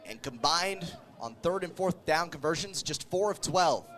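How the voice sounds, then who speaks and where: A man doing live play-by-play radio commentary of a football game, calling the action with excited energy. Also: a little high, measured pace. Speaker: play-by-play sports radio announcer.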